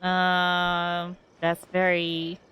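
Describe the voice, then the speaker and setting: A woman's voice: on the low side, completely flat delivery, very slow, fairly bright tone, smooth, soft background music. A woman giving casual gameplay commentary, hesitant and often repeating her phrases as she thinks aloud. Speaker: game streamer.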